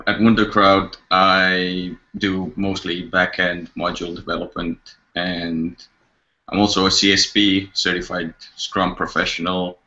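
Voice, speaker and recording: A man's voice: low-pitched, pushed and bright, lo-fi sound. A young man, speaking informally as a developer. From Romania. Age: young adult. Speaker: back-end developer. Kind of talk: informal self-introduction and remarks.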